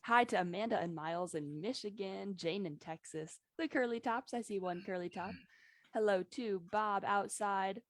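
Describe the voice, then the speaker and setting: A woman's voice: medium pitch, moderate pace, a little hiss or hum. A woman hosting a casual live online gathering, welcoming people one by one in an informal, chatty way. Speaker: host of an online daily singing gathering. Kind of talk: casual live greetings and chat. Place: live video call from home.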